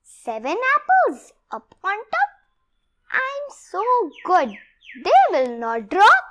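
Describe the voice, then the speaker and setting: A young girl reads a children's rhyming book aloud in a high, lively voice. She goes very slowly, phrase by phrase, with an expressive, deliberate delivery. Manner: expressive, deliberate word-by-word reading in short phrases. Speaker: read-along presenter.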